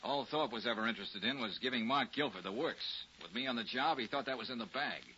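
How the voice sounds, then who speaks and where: Wisecracking 1940s radio gumshoe for a male actor: quick, forceful, slangy, confident, on dull vintage audio. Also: medium pitch, slight background noise, close to an everyday voice. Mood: confident, wry. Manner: slangy, cocky, teasing. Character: brash, wisecracking male private detective, needling and confident. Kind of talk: 1940s radio detective drama acting.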